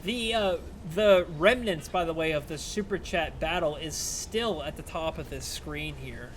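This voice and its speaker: A man with a fairly high voice talks loosely through a game stream, drifting between play and viewer questions. His voice sounds strained and a little worn. Game sounds and noise run clearly beneath him. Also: measured pace. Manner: rambling, chatty, answering chat between plays. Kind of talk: casual live-stream gameplay commentary.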